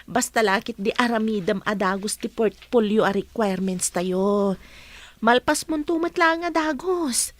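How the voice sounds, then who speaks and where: An actress playing an easygoing, reassuring young college student in a radio drama, with a Filipino accent. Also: female voice, a little high, a little slow, no echo, close to an everyday voice.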